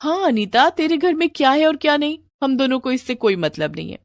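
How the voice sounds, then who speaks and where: A woman's voice: sits high, animated, a little fast, dry recording, clean studio sound, pitched above the performer's own voice. A woman voicing a cheerful, affectionate young woman, polite and friendly, visiting a friend in a moral story. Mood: warm, reassuring.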